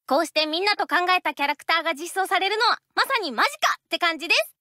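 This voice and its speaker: A woman's voice: extremely high pitch, animated, fast, sharp tone, no room sound, studio quality. A woman voice actress giving a cute promotional greeting about her game character.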